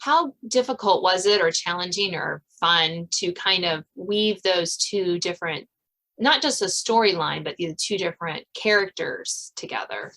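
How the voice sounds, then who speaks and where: A woman hosting a casual interview podcast, feeling her way through what she says in a halting, rambling manner. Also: on the low side, sharp tone, low-quality recording. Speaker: podcast host.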